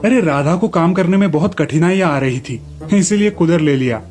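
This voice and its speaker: A man's voice: on the high side, lively intonation, moderate pace, a little mellow, faint music behind, natural voice. A man voicing a genial, indulgent village sweet-seller and father in a children's story cartoon.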